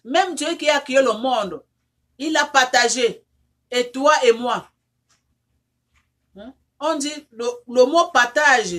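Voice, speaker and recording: A woman who is not a native French speaker, leading an informal prayer and appeal for her viewers, repetitive and fervent, with many restarts, who rises into insistent pleading as she prays. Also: sits high. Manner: rises into loud insistent pleading when praying. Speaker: online counselor leading prayer.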